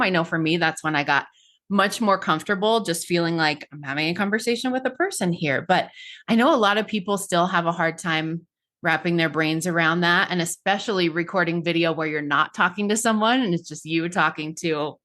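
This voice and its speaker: A woman's voice: lowish voice, a bit bright. A woman hosting a podcast, talking in an upbeat, chatty way and often saying "like.". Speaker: podcast host. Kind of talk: casual interview chat.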